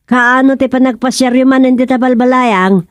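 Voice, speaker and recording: Lady playing chatty eager wife, ilocano accent, radio comedy drama, highish voice, dry studio sound. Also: fairly even intonation, normal speed, dry recording, lightly acted.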